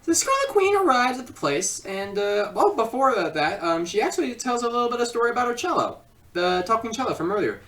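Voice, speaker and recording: A woman giving a casual, rambling, excitable comedic film review. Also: fairly high pitch, fairly quick, recorded from a distance.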